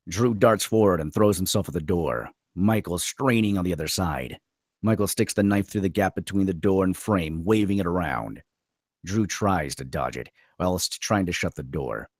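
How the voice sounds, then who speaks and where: A man's voice: low voice, animated, normal speed, dry recording, studio quality. A man reading stage directions as dramatic scripted narration at a screenplay table read.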